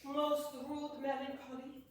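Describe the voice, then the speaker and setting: A woman playing a pompous, florid, lovesick gentleman in a theatrical Shakespearean comedy reading. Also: high-pitched, monotone. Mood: gleeful, florid. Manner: theatrical, grandiloquent, drawn-out delivery. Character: pompous, florid, lovesick male master, easily tickled. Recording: echoey hall, distant mic, faint noise.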